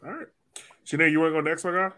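A man with a somewhat high voice co-hosts a sports podcast. He keeps it casual and informal, talking in slangy, conversational bursts. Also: steady tone, fast, pushed and bright. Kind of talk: casual chat.

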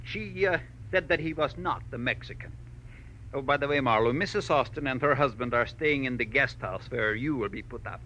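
An actor playing an exacting middle-aged millionaire mine owner in a 1940s radio drama, emphatic and deliberate in his phrasing. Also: medium pitch, sharp tone, natural voice. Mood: businesslike. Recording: dull, hissy old radio recording.

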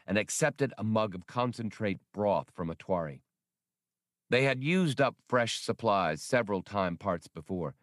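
Male audiobook narrator, lowish pitch, scripted storytelling, very dry studio-close sound. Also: no room sound. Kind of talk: scripted narration of a science fiction novel.

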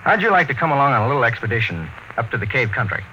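Grave radio-drama space captain. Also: male voice, medium pitch, very animated, racing, sharp tone, slight background noise, rough recording. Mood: grim, resolute. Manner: terse, grave, authoritative. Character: weary, commanding male space expedition captain, narrating his story. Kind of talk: old-time radio drama acting and narration. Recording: vintage radio recording with music.

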